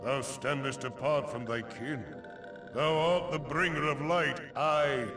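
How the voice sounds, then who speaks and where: A man's voice: medium pitch, fairly even intonation, slow pace. A man playing an ancient, stern thunder god in a video game cutscene, speaking in solemn archaic oratory with an otherworldly reverb. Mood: solemn, pondering. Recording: echoing, distant voice under music.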